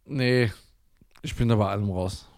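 A man's voice: lowish voice, unhurried, darkish tone. A man co-hosting a casual podcast chat, reacting bluntly and with disgust, using slangy retorts. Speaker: podcast co-host.

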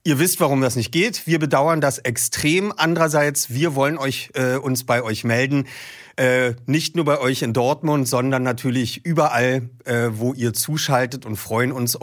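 A man's voice: mid-range voice, no echo, clean studio sound. A man presenting to listeners as a podcast host.

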